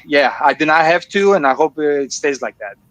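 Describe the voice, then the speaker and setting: A man from Greece chatting casually as a livestream guest, with hesitant, halting phrasing. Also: fairly high pitch, animated, a bit bright, a little hiss or hum, low-quality recording. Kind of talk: casual chat.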